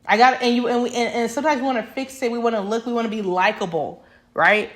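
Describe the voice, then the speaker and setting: A woman's voice: on the high side, fairly even intonation, fast talker. A young woman talking straight to camera in a passionate, emphatic monologue that rambles with restarts. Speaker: vlogger.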